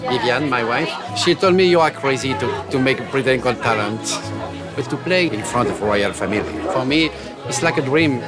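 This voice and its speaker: A man's voice: mid-range voice, normal speed. A man in his sixties from France with a French accent, chatting playfully on stage in broken English. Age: 60s. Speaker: performer, ventriloquist. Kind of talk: casual stage chat. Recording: loud crowd and music behind.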